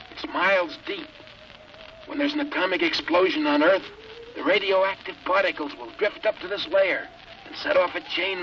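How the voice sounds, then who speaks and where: Desperate test pilot, vintage radio drama, highish voice, intense delivery, dull hissy old recording. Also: male voice, creaky. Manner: urgent, intense, halting when entranced. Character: desperate, haunted male rocket test pilot raving under hypnosis. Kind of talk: 1950s radio drama acting.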